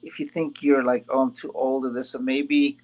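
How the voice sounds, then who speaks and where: Animated, joking male guitar teacher. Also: mid-range voice, moderate pace. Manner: animated, jokey, quotes imagined people. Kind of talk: casual interview.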